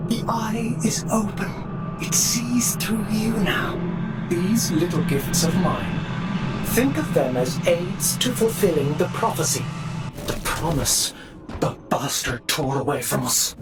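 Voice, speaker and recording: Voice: mid-range voice, expressive, takes it slowly, far from the mic, loud music behind the voice. An actor voicing a mysterious, eerie otherworldly entity in a video game, speaking in cryptic, theatrical incantations. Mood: cryptic, grandiose. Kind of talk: video game cutscene voice acting.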